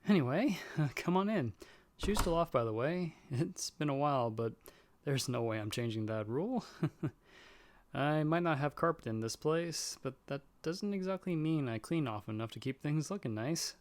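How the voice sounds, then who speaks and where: A man's voice: fairly high pitch, animated, normal speed, lightly acted. A man playing a nervous, friendly young guy in an audio roleplay, talking in a casual, hesitant, self-deprecating way. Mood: friendly, flustered. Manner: chatty, hesitant, sheepish. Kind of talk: casual one-sided roleplay dialogue.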